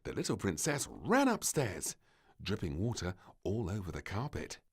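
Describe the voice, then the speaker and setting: A man reading a children's story aloud as an expressive storybook narrator. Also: low-pitched, moderate pace, slightly rough.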